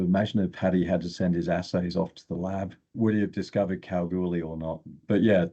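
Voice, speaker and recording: A man's voice: deep voice, mellow, husky, dull audio. An older man, an exploration geochemist, telling stories casually as an interview guest.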